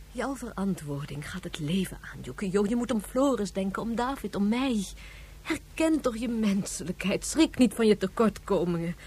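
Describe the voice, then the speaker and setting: An actress playing a passionate, exasperated mother in a radio drama, emphatic and pleading, with a husky voice. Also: medium pitch, darkish tone. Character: passionate, exasperated mother rallying her family.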